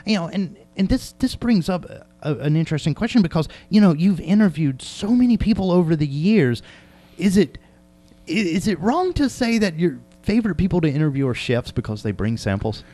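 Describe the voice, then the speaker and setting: Animated man joking as interviewer. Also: a little high, brisk. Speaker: interviewer, show host. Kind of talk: casual chat.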